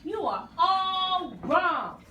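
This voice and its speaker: Puppet-show queen, played by a woman: imperious, easily enraged, high and swooping, recorded in a roomy space. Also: very animated, very slow, full character voice. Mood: gloating. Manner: imperious, exclamatory. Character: haughty furious queen obsessed with her phone. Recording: distant roomy mic with clear noise.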